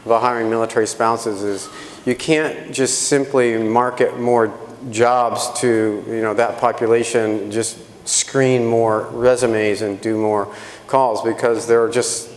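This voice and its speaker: Measured man speaking in a reverberant hall. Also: mid-range voice, fairly even intonation. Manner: measured, restarts phrases. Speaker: panelist. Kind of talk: panel discussion. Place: conference hall. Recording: reverberant hall, faint ambience.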